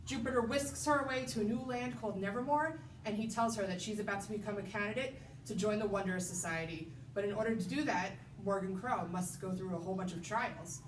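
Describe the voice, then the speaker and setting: A woman's voice: medium pitch, fairly quick, hardly any pauses. A woman, a children's librarian, giving scripted book recommendations. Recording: roomy, distant mic, noisy background.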